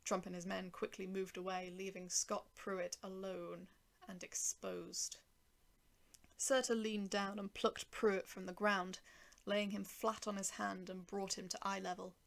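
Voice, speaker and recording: A woman's voice: medium pitch, little pitch movement, a little slow, vocal fry, a bit bright. A woman narrating a dramatic first-person short story.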